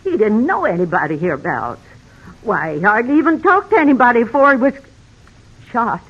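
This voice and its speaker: A plaintive old frontier mother. Her pitch rises and falls widely. It is radio acting on a dull old recording. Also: very animated, brisk, husky, full character voice. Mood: grieving. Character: old homesteader mother, homely, plaintive, hospitable. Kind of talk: old-time western radio drama acting.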